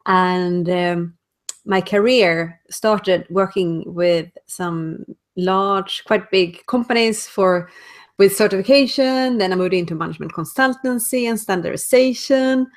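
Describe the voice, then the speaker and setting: A woman's voice: mid-range voice, measured pace. Swedish-accented woman on a video call. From Sweden. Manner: thoughtful, searches for words. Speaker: guest, speaker and author. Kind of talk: casual interview answers.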